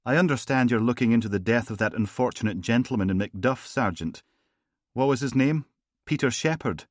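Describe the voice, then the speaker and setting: A man voicing a smooth, smiling gangster boss with an Edinburgh accent, genteel and falsely courteous. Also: mid-range voice, fairly quick, dry recording, clean studio sound, pitched above the performer's own voice, natural voice. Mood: silky. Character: smooth, smiling male gangster boss, middle-aged, menacing. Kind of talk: audiobook character voice.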